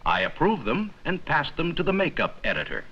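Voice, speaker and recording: A man reading scripted 1950s educational film narration in a measured, explanatory manner with simple, plain sentences. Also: on the high side, huge pitch swings, bright, forceful tone, muffled recording. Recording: worn, noisy 1950s 16mm film soundtrack.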